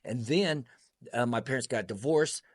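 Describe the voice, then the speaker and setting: A man in his fifties, born in Vietnam and raised in Kansas and Texas, telling his life story as an interview guest in an animated, joking way with laughs mixed in. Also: mid-range voice, normal speed, no room sound, clean studio sound. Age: 50s. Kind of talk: casual storytelling.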